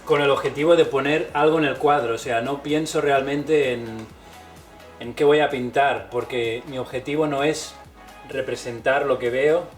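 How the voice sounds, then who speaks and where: A man in his forties, from Spain, talking about his painting in a relaxed, thoughtful way with many fillers. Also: medium pitch, normal speed, faint music behind. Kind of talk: casual documentary interview.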